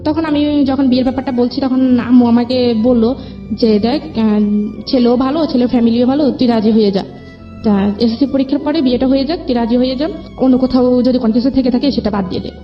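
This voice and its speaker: Bangladeshi female guest, highish voice, recounting personal story; dull lo-fi audio over music. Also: steady tone, fairly quick. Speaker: guest telling own life story. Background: background music.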